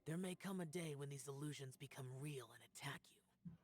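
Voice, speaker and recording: A man playing a stern, self-sacrificing young warrior in a video game, speaking in a solemn, measured way with a husky, breathy voice. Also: a little high, lightly acted. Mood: somber.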